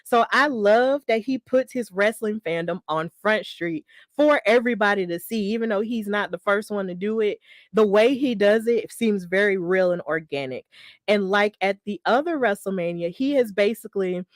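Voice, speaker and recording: Woman, podcast host, casual upbeat chatter, mid pitch, dry close sound. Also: normal speed, dry recording. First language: English. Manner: chatty, upbeat, with frequent fillers and self-corrections. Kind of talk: solo wrestling news podcast.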